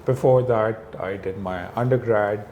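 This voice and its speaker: A man from Pakistan, a real estate business owner, talking as an interview guest in a reflective, relaxed way. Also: fairly low pitch, measured pace, muffled recording, slight background noise. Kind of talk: conversational interview.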